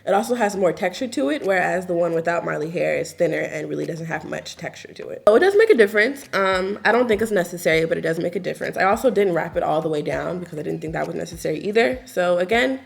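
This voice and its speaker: A woman in her twenties giving a casual, chatty hair tutorial, her voice a little raspy from just waking up, with lots of filler words. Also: lowish voice, quick pace, hardly any pauses. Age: 20s. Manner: relaxed, chatty, lots of filler likes and ums. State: slightly raspy morning voice.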